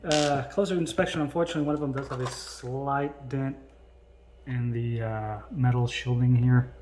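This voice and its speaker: A man giving casual, unscripted unboxing commentary in a halting, hesitant way. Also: mid-range voice, fairly even intonation, background noise. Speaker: vlogger doing an unboxing.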